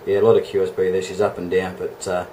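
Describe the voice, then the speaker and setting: A man's voice: low-pitched, completely flat delivery, fast, warm tone, a little hiss or hum, poor audio. An Australian man chatting casually as an amateur radio operator, with a matey, laid-back way of talking. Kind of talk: casual two-way radio chat.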